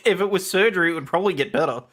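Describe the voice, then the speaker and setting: A man's voice: high voice, very fast, a bit bright. A man reading court transcript lines aloud in an informal, self-deprecating conversational way. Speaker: guest co-reader.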